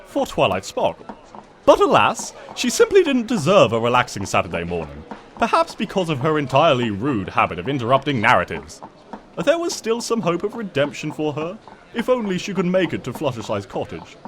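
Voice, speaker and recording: A man's voice: fairly high pitch, huge pitch swings, brisk. A man narrating a comic story in a theatrical, smug and wry manner.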